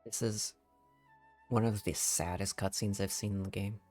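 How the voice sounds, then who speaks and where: Male gamer, low voice, casual playthrough commentary, faint music behind. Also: fairly even intonation, normal speed, a little mellow. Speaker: gaming streamer.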